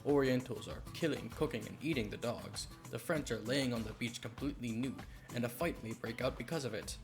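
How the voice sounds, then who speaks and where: A man plays a dutiful male aide with a creaky, mid-pitched voice. He reports in a formal, businesslike way in a manga dub, with music playing clearly behind him. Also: a little fast, lightly acted. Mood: worried, reporting. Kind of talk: dramatic manga dub acting.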